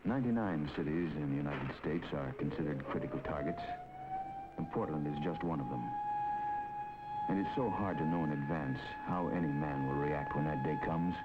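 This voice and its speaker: Very deep grave 1950s documentary narrator. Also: male voice, heavy background noise. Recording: dull 1950s film soundtrack with music.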